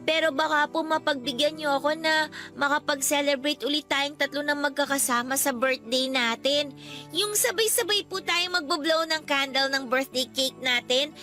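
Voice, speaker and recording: A sweet polite kid daughter in a radio drama, really high bright voice, music behind. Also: female voice, moderate pace. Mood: wistful, pleading. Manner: childlike, respectful, pleading. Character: sweet, polite eleven-year-old girl, ailing daughter. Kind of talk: Tagalog radio drama acting.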